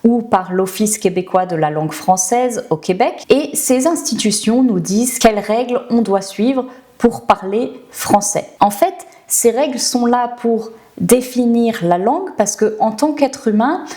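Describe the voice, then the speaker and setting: A woman's voice: on the high side, animated, unhurried, mellow. A middle-aged woman from France with a French accent, teaching the language and thinking aloud through rhetorical questions. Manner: reflective, asks herself rhetorical questions. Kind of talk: explanatory talk to camera.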